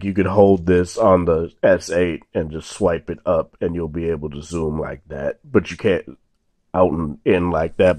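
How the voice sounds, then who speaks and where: Young male tech vlogger, very low voice, slightly sore, casual and rambling, dry room. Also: no echo. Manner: relaxed, rambling, many fillers and restarts. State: slightly sore voice after illness. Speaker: tech reviewer. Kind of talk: casual hands-on phone review. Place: indoors at a table, off camera.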